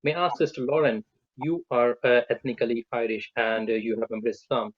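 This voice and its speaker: Husky host, dull dry line. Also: male voice, mid-range voice, fairly even intonation, a little fast, no room sound. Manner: polite, careful questioning. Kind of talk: live community discussion.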